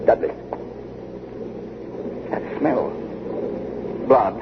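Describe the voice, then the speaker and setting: An actor playing a grave, fearful adventurer and narrator in a golden age radio drama, dramatic and heavy with dread. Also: male voice, drawn-out words, noisy background. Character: fearful, grave male friend narrating a time-travel ordeal. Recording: dull vintage radio recording.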